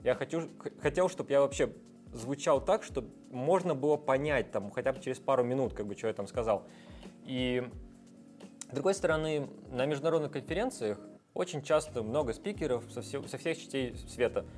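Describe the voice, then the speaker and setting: A man's voice: medium pitch, fairly quick, darkish tone, faint music behind. A man guest in a casual interview, explaining technical ideas at length with frequent hesitation sounds. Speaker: guest, software developer.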